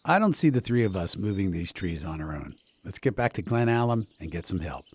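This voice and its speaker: Lively, folksy country doctor. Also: male voice, low-pitched, brisk, slightly rough, dry recording, heavily acted. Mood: wary. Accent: West Virginia. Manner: folksy, conversational. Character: easygoing small-town mining doctor, plainspoken and watchful. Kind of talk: radio drama acting.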